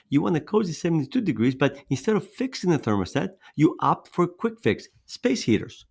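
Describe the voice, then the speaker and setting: A man raised in Greece talks through a scripted fitness presentation in an earnest, persuasive way. His middle-pitched voice has a gravelly edge. Now and then he repeats a word or drops in a filler. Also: a little mellow. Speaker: fitness coach presenting his weight loss method.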